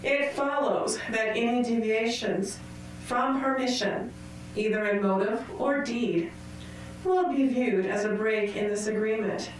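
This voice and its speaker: Actress playing stern female leader, radio drama, very echoey hall, distant from mic, formal, deliberate, authoritative. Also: fairly high pitch, a little hiss or hum, lightly acted. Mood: stern, official. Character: stern commanding woman president addressing a crowd.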